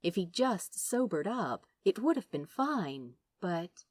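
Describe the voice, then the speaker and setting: A woman narrates a story in an emotive, dramatic audiobook style. Her voice sits fairly low but swings widely in pitch, and she takes her time over the lines. The sound is close and dry. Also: sing-song, takes it slowly, no room sound. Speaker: fan fiction narrator. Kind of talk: expressive scripted audiobook narration.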